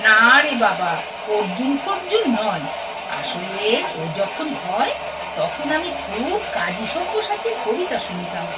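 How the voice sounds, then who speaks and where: A woman's voice: on the high side, big pitch swings, unhurried, far from the mic, low-quality recording. A woman playing an anxious, chatty middle-aged Bengali mother in an audio play, fretful and exclamatory with a homely scolding manner. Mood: chatty, confiding.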